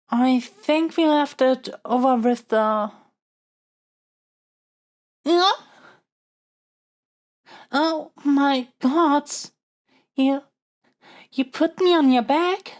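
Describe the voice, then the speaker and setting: A woman's voice: high voice, extremely slow, long pauses. A woman playing a lovestruck young princess in a romantic fantasy audio roleplay, speaking in a flustered, stammering, earnest way. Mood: hurried, eager, then awed, flustered. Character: lovestruck young princess smitten with her dragon kidnapper.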